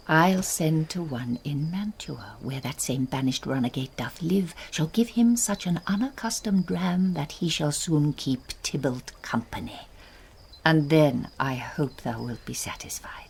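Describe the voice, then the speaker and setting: A woman's voice: low voice, animated, a little slow, vocal fry, a little mellow, slight background noise, heavily acted. An actress playing a stern, imperious aristocratic mother in a classical Shakespearean radio drama, theatrical and commanding. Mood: vengeful.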